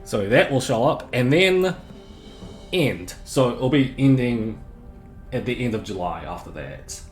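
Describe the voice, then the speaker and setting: A man's voice: medium pitch, a little slow. A man with a sore throat rambles through a casual live game stream, often correcting himself mid-sentence. His voice is plain and somewhat droning, and it echoes around the room with faint music playing behind him. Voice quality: monotonous, droning. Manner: rambling, self-correcting, chatty.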